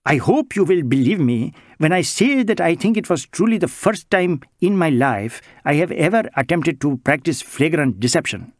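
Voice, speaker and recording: A man's voice: on the high side, lively intonation, normal speed, hardly any pauses, no room sound, clean studio sound, pitched above the performer's own voice. A man voicing an anxious, wordy middle-aged male social worker, pleading and flustered. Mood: relieved.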